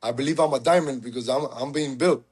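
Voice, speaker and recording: A man's voice: mid-range voice, quick pace, a little mellow, husky. Confident, humble man from Cuba. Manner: humble, confident, often says "you get me" and "yes sir". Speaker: fighter guest. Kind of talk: casual interview answers.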